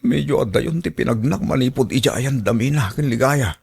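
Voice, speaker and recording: A man acting an overwrought, pleading young man in an Ilocano radio drama, with an Ilocano accent and a gravelly, muttering delivery. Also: medium pitch, brisk. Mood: panicked. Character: cornered cheating young man, later injured, pleading son.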